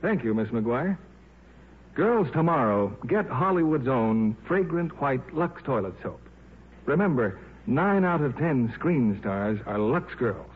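A thin, muffled old radio recording. An American man announces a scripted commercial in a mid-pitched voice with a rough, husky edge. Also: animated, takes it slowly. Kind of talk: scripted 1950s radio advertisement.

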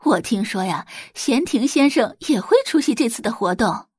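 A woman's voice: fairly high pitch, expressive, moderate pace, darkish tone, slightly rough, no room sound, clean studio sound. A woman voicing a gossipy, pushy middle-aged mother in an audio drama, coaxing and exclaiming emphatically. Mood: eager coaxing.

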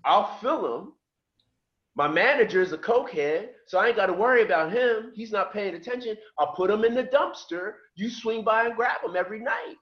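A man's voice: high voice, brisk, muffled recording. A middle-aged man from just outside Philadelphia, a podcast guest telling his life story in casual chat and acting out the voices of the people in it. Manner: lively storyteller who acts out quoted voices.

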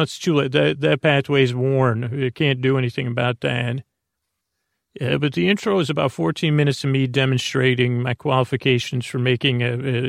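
Male bedtime podcast host, heavy vocal fry, dry close sound, halting and digressive rambling delivery. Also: mid-range voice, dry recording. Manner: halting, digressive, repeats and trails off. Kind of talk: rambling, meandering monologue.

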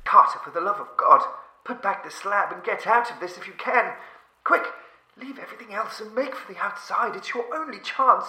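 A terrified, doomed man in a horror audiobook. His high, piercing voice is shaky and hoarse with fear, swinging wildly as he pleads urgently in an echoing space. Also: lively intonation, moderate pace, sharp tone, full character voice. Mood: frantic pleading. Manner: urgent, pleading, breaking into shrieks.

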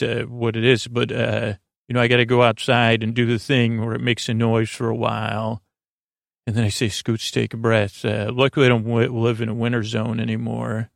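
A man with a gravelly, heavily creaky voice talks close and dry, like a bedtime podcast host. He meanders through soothing, halting tangents in a mid-pitched register, lulling and rambling as he goes. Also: no room sound.

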